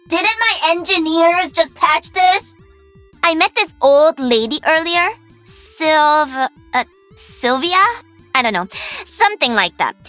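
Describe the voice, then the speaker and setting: A woman's voice: very high-pitched, pushed and bright, heavily acted. A woman playing a cocky, playful young pro-gamer mech pilot in a video game, cheeky and bubbly. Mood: mildly exasperated. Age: young adult. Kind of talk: video game character voice lines.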